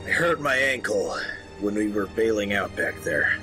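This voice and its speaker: A man's voice: lowish voice, expressive, normal speed, over music, natural voice. A man playing an exhausted, injured soldier in a radio drama, sounding weary and in pain.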